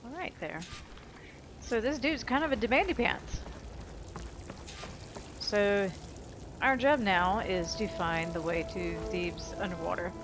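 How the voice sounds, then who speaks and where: A woman's voice: mid-range voice, takes it slowly, pauses often, noisy background. A woman hosting a gaming channel, giving casual, playful let's-play commentary with a wry sense of humor. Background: game music and sounds.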